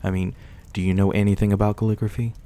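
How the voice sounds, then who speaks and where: Casting: male actor as a gentle, courteous vampire host for ASMR roleplay, low and mellow, soft old-fashioned politeness. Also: flat delivery, fast, lightly acted. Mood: candid, playful. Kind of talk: intimate ASMR roleplay acting.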